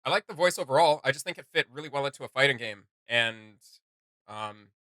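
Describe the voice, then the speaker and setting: Man, very bright forceful voice, dry close sound, casual reaction commentary, jokey. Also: medium pitch, lively intonation, brisk, dry recording. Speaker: reaction video host.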